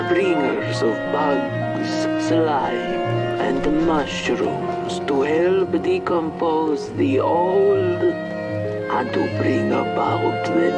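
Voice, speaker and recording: Very slow, proud swamp creature. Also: male voice, on the high side, creaky, exaggerated voice. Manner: grave, deliberate, pompous. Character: proud, solemn swamp creature, caretaker of decay. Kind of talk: video game fantasy monologue.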